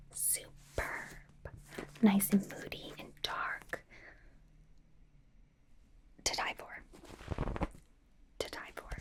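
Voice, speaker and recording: Woman doing a whispery young kpop idol girl, slow and soft, gushing over you like a stylist, asmr roleplay. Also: fairly high pitch. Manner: gushing, casual, slow, with repeated soft reassurances. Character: bubbly, fawning young female K-pop idol as personal stylist.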